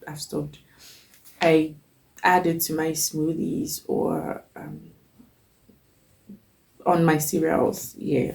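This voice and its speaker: A young woman talks slowly through a kitchen list, pausing on ums. Her voice is low and dark, with a gravelly edge, and she sounds stuffy from a cold. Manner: chatty, list-like, frequent ums. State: has a cold. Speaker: cooking channel host. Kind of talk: casual talk to camera.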